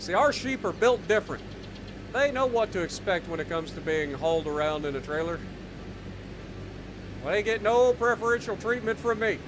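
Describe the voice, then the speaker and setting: A man's voice: high voice, animated, normal speed, background noise. A man with an Ohio accent, casually commenting while he plays a game, joking and chatting to himself and his viewers. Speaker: game streamer.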